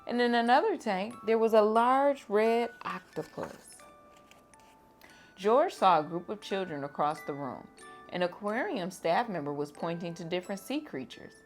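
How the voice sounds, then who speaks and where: A woman reading a children's picture book aloud to kids in a gentle, expressive storytime manner. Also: medium pitch, normal speed, faint music behind. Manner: gentle, expressive, lively on exclamations. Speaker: storytime reader.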